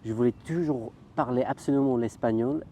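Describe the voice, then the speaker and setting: Man from the uk, very dark warm voice, hesitant, faint traffic noise. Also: medium pitch, normal speed. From United Kingdom. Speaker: guest. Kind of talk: casual interview.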